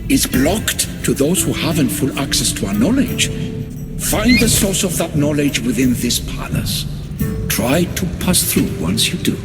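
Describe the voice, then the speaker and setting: A man's voice: a little high, very animated, unhurried. An actor playing a proud, commanding god-king in a video game, speaking in a formal, authoritative manner. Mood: stately, assured. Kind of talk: dramatic video game acting. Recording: loud music behind the voice.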